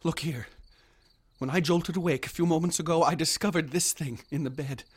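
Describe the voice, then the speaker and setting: An actor playing an obsessed young student haunted by nightmares, in an intense, florid radio drama style. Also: male voice, a little high, fairly even intonation, brisk, slightly rough. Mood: shaken, insistent.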